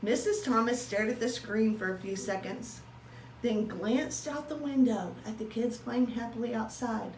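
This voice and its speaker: A woman's voice: fairly high pitch, normal speed, darkish tone. A woman reading a children's story aloud as a teacher would, expressively and with acted-out dialogue. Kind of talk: animated children's storybook narration. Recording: roomy, distant mic, faint background noise.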